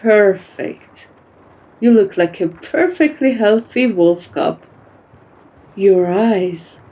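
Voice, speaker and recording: A dominant yet kind female vampire, played by a woman, speaks softly in an intimate ASMR roleplay. Her voice is mellow and medium-pitched, and she takes her time over every phrase. She teases and coos, doting on the listener like a beloved pet. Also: lively intonation, slow. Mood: gentle, commanding. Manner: soft-spoken, teasing, cooing, addresses listener like a pet. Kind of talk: soft-spoken ASMR roleplay.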